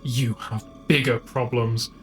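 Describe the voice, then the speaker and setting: A man's voice: medium pitch, flat delivery, takes it slowly, a bit bright, faint music behind. A man voicing an anguished but resolute robot woman warrior with a grave, dramatic manner. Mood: grim.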